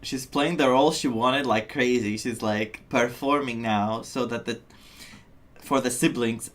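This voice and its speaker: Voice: medium pitch, measured pace. A game streamer giving casual live reactions and commentary on a story.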